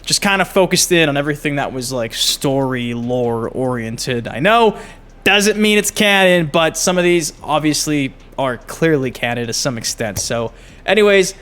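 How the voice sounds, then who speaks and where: A man hosting a gaming channel, giving excited casual commentary and swearing freely. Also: fairly high pitch, normal speed. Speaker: gaming YouTube host. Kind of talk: casual commentary on game clips.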